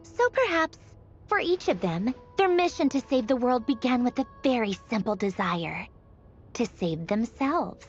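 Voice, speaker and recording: Wry, aloof storyteller, swooping intonation. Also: female voice, fairly high pitch, very animated, a little slow, lo-fi sound. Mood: wistful, musing. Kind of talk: scripted dramatic video game narration. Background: background music.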